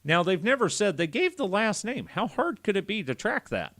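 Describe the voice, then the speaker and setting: A man's voice: sits high, big pitch swings, moderate pace, fairly bright tone. A man on a podcast panel telling a story in a casual, joking, profane way with banter.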